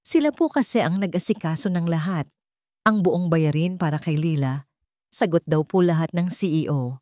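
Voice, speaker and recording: A woman's voice: deep voice, lively intonation, normal speed, dark tone, no room sound, studio quality. A woman with a Filipino accent voicing a polite, admiring, gossipy hospital nurse in a dramatic story, deferential and chatty. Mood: admiring, confiding.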